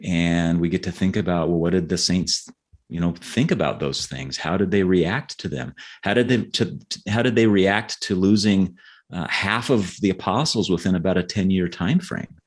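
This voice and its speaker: Middle-aged guy with a low voice, interview chat, kinda halting, repeats words while he thinks. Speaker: guest novelist. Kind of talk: conversational interview answers, storytelling.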